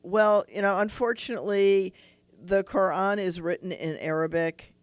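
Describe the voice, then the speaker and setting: A man's voice: high-pitched, fairly even intonation, moderate pace, no echo. A man hosting a radio call-in show as a rabbi, explaining at length and thinking aloud with restarts.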